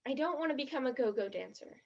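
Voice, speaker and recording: A woman's voice: high voice, lively intonation, quick pace. An actress in a stage play as a blunt, skeptical, dramatic teenage girl, in a slightly muffled recording. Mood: contrite.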